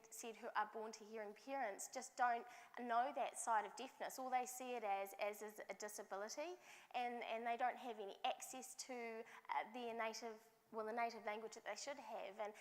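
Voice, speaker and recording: A woman voicing a deaf speaker's signed talk as a live interpreter, measured and often restarting or correcting herself mid-phrase. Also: a little high. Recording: long hall reverb.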